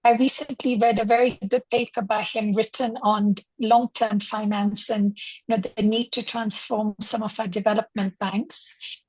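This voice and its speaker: A woman's voice: medium pitch, flat delivery, moderate pace, darkish tone, slightly rough, no room sound, rough recording. A woman moderating a panel, introducing the next speaker politely and with some fillers.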